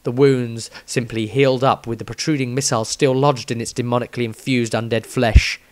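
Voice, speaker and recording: A man's voice: mid-range voice, steady tone, a little fast. A man reading a scripted fantasy tale as its narrator, in a dramatic storytelling style. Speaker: author reading his own story.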